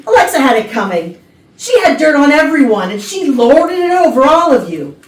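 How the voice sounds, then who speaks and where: A woman's voice: high-pitched, very animated, moderate pace. A woman playing a contemptuous, sarcastic, ambitious office villain in a murder mystery comedy reading. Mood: smug confession. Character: scornful ambitious female office worker exposed as poisoner. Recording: echoey room, far from mic.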